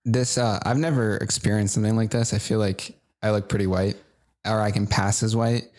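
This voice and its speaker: A man's voice: lowish voice, steady tone, a little fast, a little mellow. A man from Rhode Island, co-hosting a casual podcast chat, probing the others with questions. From Rhode Island, United States. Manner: probing, questioning, talks in bursts. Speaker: co-host.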